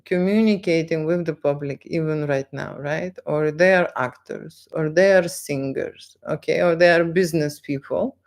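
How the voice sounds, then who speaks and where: A woman with a Lithuanian accent chats unscripted on a live stream, haltingly, repeating phrases and often checking in with short tag questions. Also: deep voice, a little mellow. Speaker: live stream host, photographer. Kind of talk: unscripted live stream chat, answering viewers.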